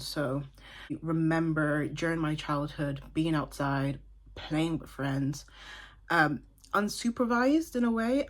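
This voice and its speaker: A woman in her twenties from Dublin, chatting casually and thoughtfully about a book, with side remarks. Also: deep voice. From Ireland (Dublin). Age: 20s. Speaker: book vlogger. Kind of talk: casual book review talk.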